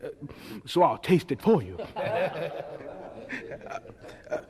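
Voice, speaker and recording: An actor playing an elderly, humble Southern scientist in an audio drama, with a hesitant, courteous and earnest manner. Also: male voice, a little high, very animated, slow, crowd noise. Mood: fumbling, courteous.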